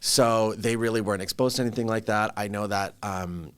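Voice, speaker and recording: A man's voice: lowish voice, fairly even intonation, brisk, clear, resonant tone. A man around forty from the Vancouver area, a podcast guest talking in a casual, chatty way, laughing often at himself. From Canada (Vancouver area). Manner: chatty, laughing, self-deprecating storyteller. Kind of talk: casual interview chat.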